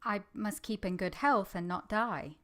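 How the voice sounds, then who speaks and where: A woman's voice: medium pitch, a little slow, a bit bright, lightly acted. A woman playing a plain-spoken, defiant orphan girl who tells her own story in a vintage radio drama.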